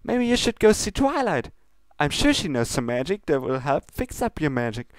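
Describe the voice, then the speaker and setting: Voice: mid-range voice, big pitch swings, normal speed, no room sound, pitched above the performer's own voice, lightly acted. A reader voicing a perky, oblivious female cartoon pony baker with a guileless manner in a comedy fan fiction reading. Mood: earnest concern.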